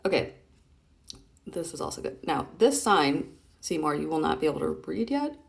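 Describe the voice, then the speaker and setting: A woman's voice: low voice, very animated, moderate pace, vocal fry. A woman hosting a casual read-aloud of comic strips, chatting playfully and expressively as she explains things directly to a child.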